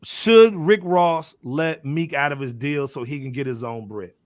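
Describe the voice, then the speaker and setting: American male podcast host, slightly high pitch, slangy and informal, dry recording. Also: a little slow, no echo. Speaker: host of a music podcast panel. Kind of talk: casual hip hop talk, slangy.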